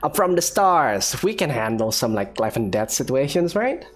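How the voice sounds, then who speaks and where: A woman's voice: extremely low pitch, sing-song, normal speed. A woman hosting a gaming video, giving casual commentary and reading dialogue along.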